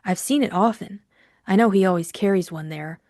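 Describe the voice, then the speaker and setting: A woman's voice: medium pitch, brisk, a little mellow, no echo, clean studio sound, lightly acted. A woman acting a frightened, secretive female client, timid and earnest, in an audiobook drama.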